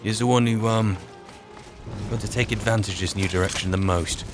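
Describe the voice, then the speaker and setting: A man's voice: lowish voice, fairly even intonation, a little fast, music in the background, natural voice. A man roleplaying a wry, boastful archmage adventurer, muttering sardonic asides in colloquial speech as he plays. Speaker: roleplaying gamer narrating in character as an adventurer mage. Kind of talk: in-character game roleplay commentary.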